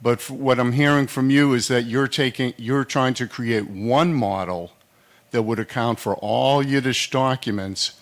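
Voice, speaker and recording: A man in the audience asking a long, chatty question about learning the software. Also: mid-range voice, fairly even intonation, unhurried. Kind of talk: question from the floor.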